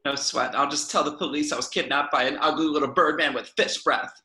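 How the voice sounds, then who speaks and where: A man's voice: a little high, steady tone, a little fast, pushed and bright. A man playing a breezy, naive young pageant princess in a script table read. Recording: echoey room.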